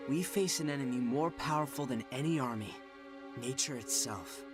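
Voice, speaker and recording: Actor as earnest young lieutenant over swelling music. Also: male voice, mid-range voice, normal speed, natural voice. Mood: grave, weary. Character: earnest young army lieutenant, grave and resolute. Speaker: game voice actor playing a lieutenant and narrating. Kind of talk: dramatic scripted game dialogue and narration.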